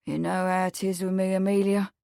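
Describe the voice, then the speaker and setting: A woman's voice: mid-range voice, a little slow, creaky, warm tone, no echo. Young English working man, voiced by a woman: awkward, devoted, emphatic yet muttering, dry close storybook sound. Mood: unhappy.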